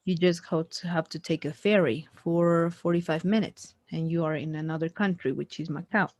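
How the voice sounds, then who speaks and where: A woman's voice: lowish voice, fairly even intonation, normal speed, darkish tone, no echo. A woman chatting loosely in a one-on-one conversation lesson, with many fillers and restarts. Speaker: conversation tutor. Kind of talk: casual conversation practice.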